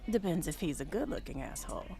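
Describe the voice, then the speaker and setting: A woman playing a flirtatious, wry bar guest in an audio drama, relaxed in manner. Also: deep voice, fast, vocal fry, faint music behind, full character voice. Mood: wry, flirty.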